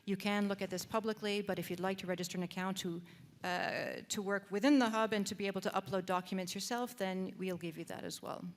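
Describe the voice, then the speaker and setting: A woman's voice: medium pitch, fairly even intonation, fast. A woman with a Canadian accent presenting at a conference, guiding the audience through a website with frequent fillers and restarts. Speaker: presenter demonstrating a database. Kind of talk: live panel presentation, guiding a screen walkthrough. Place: conference room.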